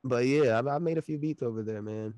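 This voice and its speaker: A man's voice: medium pitch, fast talker, warm tone, dry recording, muffled recording. A man in his late twenties, chatting loosely as a podcast guest, laughing often and swearing casually. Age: late 20s.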